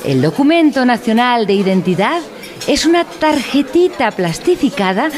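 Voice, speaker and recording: A woman's voice: fairly high pitch, sing-song, normal speed, a little mellow. A woman playing a pedantic instructor in a mock-educational radio play, speaking formally and didactically. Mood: solemn, didactic. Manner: formal, didactic, pompous wording. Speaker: narrator in an audio drama.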